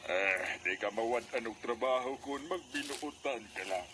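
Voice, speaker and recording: A man's voice: mid-range voice, normal speed, heavy background noise, lightly acted. A man playing a reassuring construction boss in a radio drama, matter-of-fact and directive, with a Cebuano Filipino accent. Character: male construction boss, reassuring, steady authority. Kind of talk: Philippine radio drama acting.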